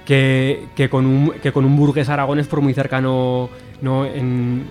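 A man's voice: mid-range voice, completely flat delivery, a little slow, a little mellow, soft background music. A man, an activist guest on a radio interview, speaking earnestly and often repeating words as he thinks.

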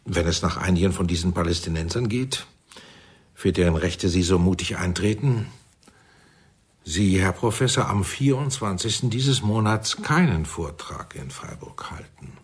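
An actor playing a shrewd, commanding intelligence chief in a German radio play, speaking deliberately with sardonic persuasion. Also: male voice, sits low, lively intonation, husky. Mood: politely measured.

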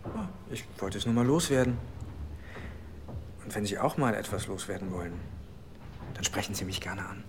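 An actor playing an oily, insinuating 1920s newspaper reporter in a radio drama, smooth-talking and suggestive. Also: male voice, lowish voice, animated, moderate pace, pauses often, heavy background noise.

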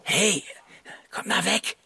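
An actor playing a stressed, suspicious husband in his forties, hushed and urgent, often slipping into whispers in a naturalistic radio drama. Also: sits high, takes it slowly, breathy edge, no room sound, full character voice. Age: 40s.

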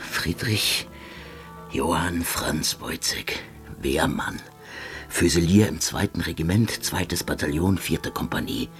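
An actor playing a doomed soldier of thirty in a radio drama, resigned, halting and terse. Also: male voice, sits low, soft background music. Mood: bleak.

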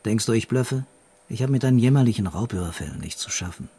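An actor playing a tough, weary police investigator in a German radio crime drama, blunt and interrogating. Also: male voice, lowish voice, lively intonation, fast talker, mellow, husky, exaggerated voice. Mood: stern warning.

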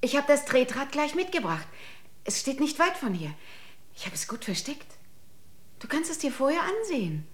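Coy young visitor, lilting voice. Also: female voice, sits high, big pitch swings, normal speed. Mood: coaxing.

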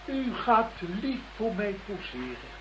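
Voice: medium pitch, very animated. Polite but menacing masked blackmailer, radio play, bit of background noise. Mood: sinister relish. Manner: formal, deliberate, coolly threatening. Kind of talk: Dutch radio play acting. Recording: noisy radio play recording.

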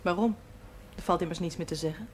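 An actress playing a sorrowful, guarded grown daughter in a 1970s television drama. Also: female voice, lowish voice, fast talker, close to an everyday voice. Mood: subdued, guarded. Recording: noisy 1970s television soundtrack.

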